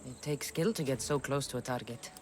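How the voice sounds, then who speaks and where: A woman's voice: a bass voice, a little fast, darkish tone, slight background noise. A woman playing a bold, wry mercenary warrior of ancient Greece in a video game cutscene, speaking with confident command. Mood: probing.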